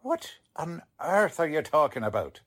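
A man playing a gruff, bossy, exasperated police lieutenant with brusque, colloquial speech, in 1940s radio drama style. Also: on the high side, big pitch swings, normal speed.

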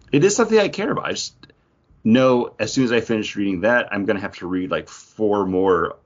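Very low-voiced man, lo-fi podcast sound. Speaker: podcast co-host. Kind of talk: casual chat. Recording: dull lo-fi podcast audio.

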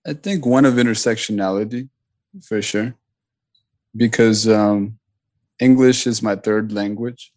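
A man whose first language was Punjabi talks through his memories as an interview guest. His voice sits low and stays fairly flat, and he pauses, restarts and leans on fillers as he thinks aloud. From Richmond, Virginia, US. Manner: thoughtful, with frequent fillers and restarts. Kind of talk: reflective interview talk.

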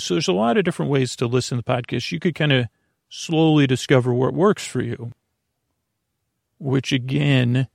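A man hosting a sleep podcast in a rambling, halting bedtime monologue, with a creaky, gravelly voice. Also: medium pitch, no room sound, studio quality. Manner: meandering, halting, repeats and trails off. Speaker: podcast host.

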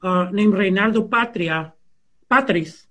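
A man with a notably high voice answers an audience question as an author. He talks loosely, full of "you know" and restarts, circling back as he builds his point. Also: a little slow, pushed and bright. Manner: many fillers and restarts, searching for words. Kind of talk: thoughtful conversational Q&A answer.

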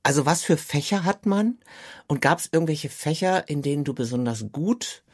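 A man's voice: a little high, expressive, moderate pace. A man working as an interviewer, asking questions in a casual, conversational way.

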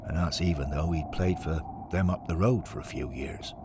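A man's voice: a bass voice, moderate pace, noisy background. A man voicing a plain-spoken, sincere and loyal former footballer in an audio story. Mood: earnest.

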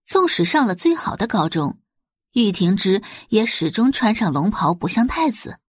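A woman's voice: mid-range voice, sing-song, moderate pace, slightly rough, dry recording, studio quality. A woman narrating an audiobook in a dramatic, scripted storytelling style.

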